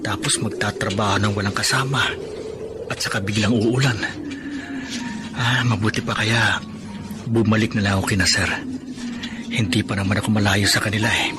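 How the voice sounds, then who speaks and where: An actor with a Filipino accent playing an earnest, hardworking young blind student in a radio drama. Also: male voice, fairly low pitch, natural voice. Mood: worried. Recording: radio drama with noisy ambience behind.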